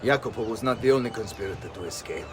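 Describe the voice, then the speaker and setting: An actor playing a dignified, measured Italian Renaissance nobleman in a video game, with an Italian accent. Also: lowish voice, lively intonation, noisy background, natural voice. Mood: grave, cordial. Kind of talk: dramatic video game acting.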